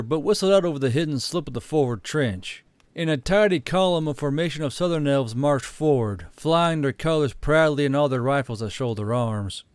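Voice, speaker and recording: A man's voice: medium pitch, clear, resonant tone, no room sound. A man narrating a scripted fantasy story as an audiobook. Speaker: narrator and channel host.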